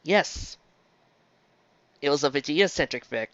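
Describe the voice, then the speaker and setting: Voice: medium pitch, animated, unhurried, fairly bright tone, no echo. Rambly fan reviewer chatting casually, trips over words and restarts, dry muffled lofi mic. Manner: digressive, chatty, stumbles and restarts mid-phrase. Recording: dull lo-fi close dry recording.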